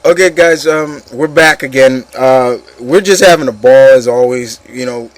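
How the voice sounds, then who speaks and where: A man's voice: medium pitch, moderate pace, slight background noise. A man hosting an opinion show, chatting informally about movies and addressing the listeners in a casual, opinionated way.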